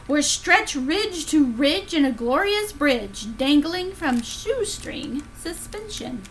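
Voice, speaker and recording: Very animated, high-voiced woman reading to children. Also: slow pace, a little hiss or hum, rough recording. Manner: expressive, sing-song storytelling delivery. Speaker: children's librarian reading aloud. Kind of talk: animated picture-book read-aloud of rhyming story.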